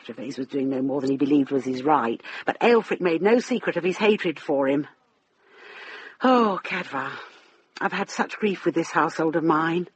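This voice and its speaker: A woman's voice: low-pitched, lively intonation, normal speed. An actress playing a troubled middle-aged widow in a radio drama, earnestly explaining her household's affairs. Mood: sorrowful. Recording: muffled band, noticeable hiss.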